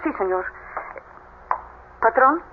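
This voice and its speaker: An actress playing a deferential, anxious housekeeper in a radio drama. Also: female voice, a little high, animated, slow. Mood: dutiful. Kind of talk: 1970s radio drama acting. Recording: narrow-band old radio, faint noise.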